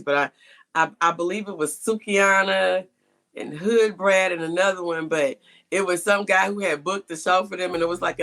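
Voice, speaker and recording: A woman's voice: lowish voice, bright, forceful tone. A woman hosting a conversational interview, speaking emphatically and repeating key points for stress. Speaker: podcast host and interviewer.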